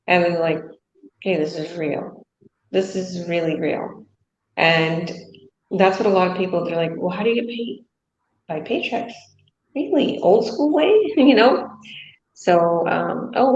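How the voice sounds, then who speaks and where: A woman hosts a casual online interview, breezy and talkative, with a slightly low voice. Her audio is roomy and muffled, with a dull, lo-fi quality. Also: slight background noise. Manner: breezy, chatty, many fillers. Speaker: interviewer, host. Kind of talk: casual video call interview.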